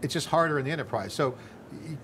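A middle-aged man hosts a tech interview in a conversational, rambling way, often restarting his sentences. He's on a lapel mic in a studio set. A clear murmur of floor ambience sits behind him. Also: a little high, animated, quick pace, a bit bright, slight background noise. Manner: loose, rambling, thinks aloud with restarts. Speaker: host.

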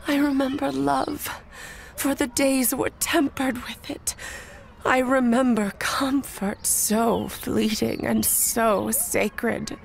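A woman playing the undead young Bride of Frankenstein in a gothic horror audio drama, solemn, hushed and breathy in a poetic monologue. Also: high-pitched, steady tone, full character voice. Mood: mournful. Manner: breathy, hushed, incantatory, deliberate.

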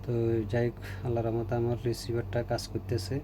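A man with a Bangladeshi Bengali accent gives an informal, halting repair demonstration as a technician, often repeating phrases. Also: medium pitch, completely flat delivery. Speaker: repair technician presenting a tutorial. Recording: noticeable background hum and noise.

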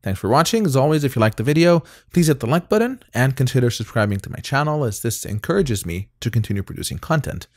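A man in his thirties with a non-native English accent, casually demoing software in a relaxed, chatty way with frequent fillers, recorded at home on a close mic. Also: mid-range voice, quick pace, hardly any pauses, no echo. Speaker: tech reviewer. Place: home studio room with colored lights.

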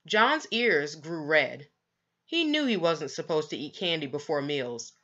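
A woman narrating a children's book aloud in an expressive storytelling style, with deliberate emphasis on key words. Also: low voice, highs cut off.

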